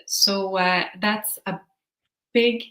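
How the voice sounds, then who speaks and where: Bright young Swedish woman on a video call. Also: medium pitch, fairly even intonation, extremely slow, echoey room. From Sweden. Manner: hesitant, many "uh" and "um". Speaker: guest, new translator.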